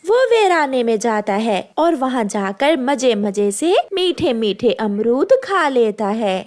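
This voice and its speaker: A woman storyteller reading scripted narration for an animated children's tale. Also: on the high side, takes it slowly.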